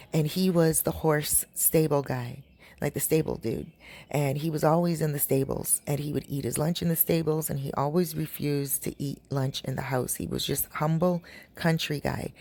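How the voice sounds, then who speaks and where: A woman with a raspy, dry-throated voice, chatting to her viewers as she tells a long story. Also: low-pitched, darkish tone. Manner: chatty, addresses viewers directly. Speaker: storytelling vlogger. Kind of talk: casual storytelling. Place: home in a Caribbean town.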